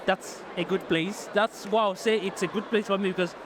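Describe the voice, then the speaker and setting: A man with a fairly high voice, speaking in a measured, thoughtful way. Background noise is clearly audible. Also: steady tone. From Nigeria. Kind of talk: casual interview answers.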